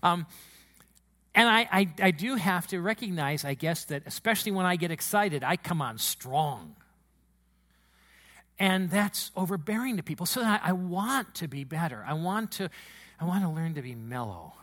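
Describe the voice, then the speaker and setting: A pastor preaching a sermon in his church, his booming voice sitting high with a bright, forceful edge. He is emotional and quick to get excited as he speaks to the congregation. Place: church sanctuary.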